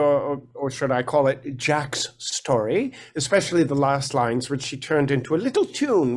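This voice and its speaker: A man acting a harried, henpecked lord and father in a fairy-tale stage play, flustered and theatrical. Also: a little high. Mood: curious, reminiscing.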